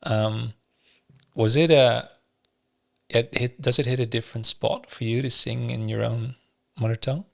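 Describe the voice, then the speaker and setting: A man's voice: medium pitch, fairly even intonation, unhurried, vocal fry, pauses often. A man hosting a podcast, asking his guest questions in a hesitant conversational way with frequent restarts. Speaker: podcast host.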